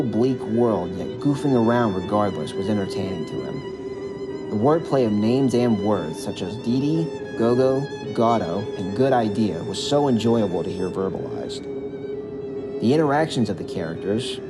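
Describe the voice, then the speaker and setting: Expressive male storyteller over music. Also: mid-range voice. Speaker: narrator of own audio drama. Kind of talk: scripted narration of a backstory.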